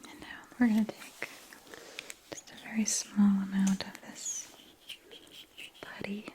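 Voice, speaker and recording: A woman whispering softly and breathily in an ASMR medical roleplay, gently reassuring as she explains each step as a clinic technician. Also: mid-range voice, level tone, a little slow.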